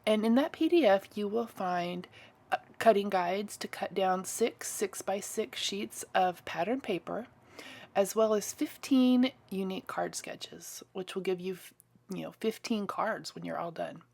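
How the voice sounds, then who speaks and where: A woman in a casual crafting tutorial voice-over, chatty and enthusiastic, a little hoarse and stuffy, clearing her throat now and then. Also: mid-range voice, unhurried, a little mellow. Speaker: card-making crafter.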